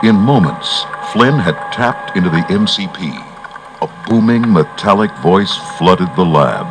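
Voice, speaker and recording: A man's voice: deep voice, expressive, measured pace, background noise. A man narrating a children's read-along adventure in a dramatic storytelling style. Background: loud music.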